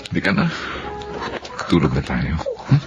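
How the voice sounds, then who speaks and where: A man's voice: very deep voice, very animated, moderate pace, lo-fi sound, music in the background. A man with a Filipino accent acting an attentive, mild-tempered, solicitous husband in a radio drama. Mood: caring, puzzled.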